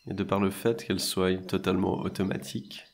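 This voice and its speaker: A man guiding a meditation in a soothing, measured way, leaving long pauses between short phrases. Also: sits low, flat delivery. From French-speaking Switzerland. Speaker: meditation and hypnosis guide. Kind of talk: guided meditation narration.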